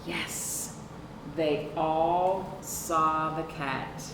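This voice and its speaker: A middle-aged woman reading a picture book aloud to young children as a librarian, explaining deliberately and asking rhetorical questions. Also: deep voice, steady tone. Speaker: children's librarian hosting storytime. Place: indoor room with sofa. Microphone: camera a few feet away. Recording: echoey, noisy room, distant mic.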